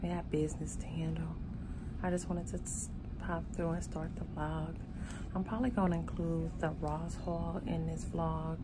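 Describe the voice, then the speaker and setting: A woman's voice: sits low, level tone, normal speed, heavy background noise. A woman in her thirties from California, chatting casually to viewers in a vlog, calling them y'all and baby, recorded on a phone held at arm's length in an indoor waiting room. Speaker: vlogger. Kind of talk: casual vlog chat to camera.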